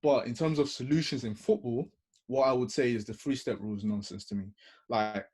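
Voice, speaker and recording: A man on a video-call discussion speaks with a slightly husky voice. He builds his argument in numbered steps, calm and deliberate. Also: mid-range voice. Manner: measured, lays out points in order. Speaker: panel guest. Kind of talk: reasoned panel discussion.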